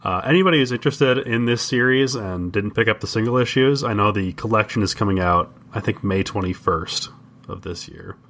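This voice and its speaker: Male podcast co-host, low but bright, forward voice, measured and halting, conversational. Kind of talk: casual comics discussion.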